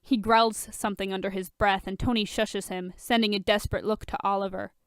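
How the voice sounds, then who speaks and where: A woman reading fan fiction aloud as an expressive story narrator. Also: mid-range voice, brisk, smooth, no echo, clean studio sound.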